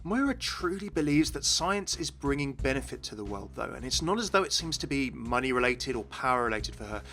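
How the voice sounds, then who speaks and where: A man's voice: mid-range voice, sing-song, brisk, a bit bright. A man hosting a gaming video, narrating in an enthusiastic explainer style. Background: music.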